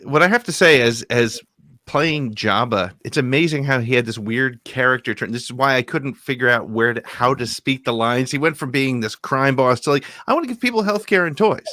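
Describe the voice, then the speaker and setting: A man's voice: medium pitch, brisk, sharp tone, no room sound, clean studio sound. A man who performs in table reads, chatting casually with the group. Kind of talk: casual animated chat.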